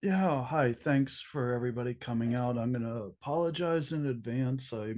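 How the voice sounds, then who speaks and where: A man with a cold and a hoarse voice chairs a public meeting over a video call, halting with many fillers. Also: mid-range voice, a little mellow. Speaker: subcommittee co-chair.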